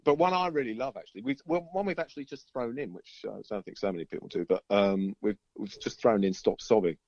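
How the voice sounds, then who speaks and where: A man's voice: on the low side, fast talker, darkish tone, dry recording. A middle-aged man chatting casually as a musician being interviewed, hesitant and rambling with a chuckle. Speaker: interviewed musician, band member. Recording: dull, lo-fi band-limited line.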